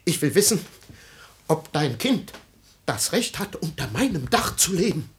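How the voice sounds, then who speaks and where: A man's voice: a little high, sing-song, unhurried. An actor playing a proud, wounded father in a radio play, theatrical, agitated and commanding. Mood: indignant, suspicious. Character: proud, wounded husband and father, melodramatic and volatile.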